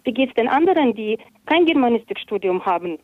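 A woman's voice: a little high, lively intonation, fairly quick, a little hiss or hum. A woman with an Eastern European accent, a teacher calling in to a radio show, talking earnestly and emphatically. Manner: earnest, emphatic, repeats herself. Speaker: caller. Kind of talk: emotional phone-in call. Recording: dull phone line.

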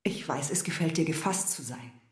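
Actress as proud hurt wife in a radio play, low voice, reproachful n intense, hushed bits, roomy echo. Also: female voice, moderate pace, in a whisper, close to an everyday voice. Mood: bitter, resigned. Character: wounded, proud wife confronting her husband and lover. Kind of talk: German radio drama dialogue.

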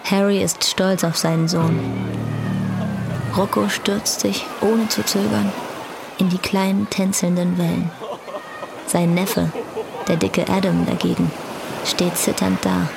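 A woman reading scripted literary narration for a radio drama, with a creaky voice. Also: lowish voice, flat delivery, unhurried, pauses often, noisy background. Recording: guitar music behind the voice.

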